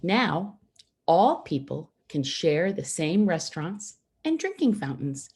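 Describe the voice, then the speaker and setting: Slow, expressive woman reading a storybook. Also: fairly low pitch, breathy edge. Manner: deliberate, simple phrasing with pauses for young listeners. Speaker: storybook reader for schoolchildren. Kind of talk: read-aloud children's picture book narration.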